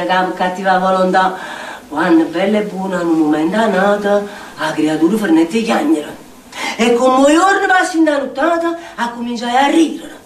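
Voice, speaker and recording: High-voiced Neapolitan woman telling a tale on stage. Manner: expressive, incantatory repetition of phrases. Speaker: stage actress performing a theatre monologue. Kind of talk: theatrical dramatic storytelling monologue in dialect. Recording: echoey distant stage recording with noise.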